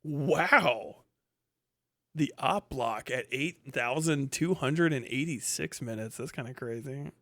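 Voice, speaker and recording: A man hosting a casual, unscripted livestream, talking bluntly with profanity, muttering asides and reading things out loud as he goes. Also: mid-range voice, slow pace, no echo. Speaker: livestream host. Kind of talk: reads names, stats and posts aloud.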